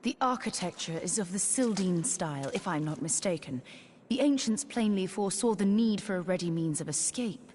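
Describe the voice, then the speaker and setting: An actress playing a learned, resolute mage in a fantasy video game, formal and measured, with a dry wit. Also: female voice, fairly low pitch, lively intonation, darkish tone, slight background noise, lightly acted. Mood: scholarly. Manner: formal, measured, dryly witty. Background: music.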